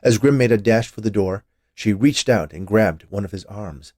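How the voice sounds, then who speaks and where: A man narrating a scripted short story as its reader, in a measured, expressive manner. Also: fairly low pitch, no echo, clean studio sound.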